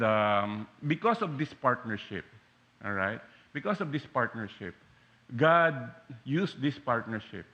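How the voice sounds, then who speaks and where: A man in his forties from the Philippines with a Filipino accent, preaching a sermon as a guest pastor in a church, animated and joking with frequent fillers and restarts. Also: mid-range voice, dull audio. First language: Taglish. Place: church sanctuary.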